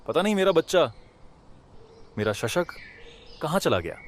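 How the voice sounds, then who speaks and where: A man's voice: on the high side, sing-song, normal speed, slight background noise. A man voicing a kind-hearted, emotional poor villager in a Hindi cartoon, speaking in a dramatic, pleading way. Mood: distraught, pleading. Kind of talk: Hindi animated moral story dialogue.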